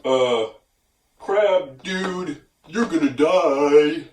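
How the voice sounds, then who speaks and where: A man's voice: a little high, very slow, recorded from a distance. A man casually commenting on a story in a slangy, incredulous way. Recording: echoey room, away from the mic.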